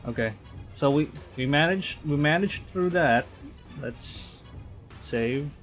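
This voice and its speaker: A man streams a game in a fairly high voice, taking his time. He mixes reading aloud with excitable commentary and often repeats himself, with clear music playing behind him. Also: takes it slowly. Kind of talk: live game commentary mixed with reading visual novel text aloud.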